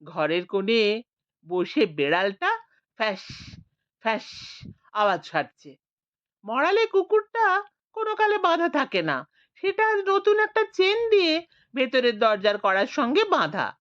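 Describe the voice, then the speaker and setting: A woman narrating an audiobook story in a slow, expressive reading. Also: fairly high pitch, no room sound. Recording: dull lo-fi dry recording.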